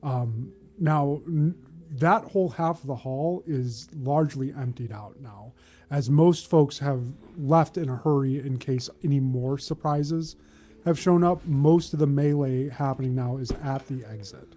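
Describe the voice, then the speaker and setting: A man working as game master, narrating a tabletop game in a measured, descriptive way with halts mid-phrase. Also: mid-range voice, warm tone.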